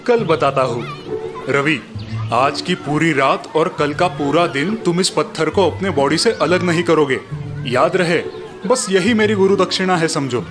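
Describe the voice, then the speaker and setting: Guy doing a cartoon sports teacher, friendly but firm, highish voice, light music under it. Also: measured pace, a crowd in the background, close to an everyday voice. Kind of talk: cartoon voice acting.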